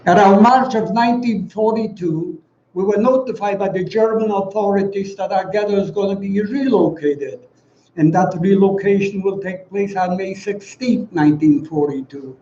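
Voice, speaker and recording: Man around 90, Polish accent, mellow timbre, grave and measured orator at a ceremony; dull, far-off recording with faint noise. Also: high voice, roomy sound. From Poland. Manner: solemn, deliberate, formal, with emphatic pauses. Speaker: guest speaker, Holocaust survivor giving testimony. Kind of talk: prepared commemorative speech.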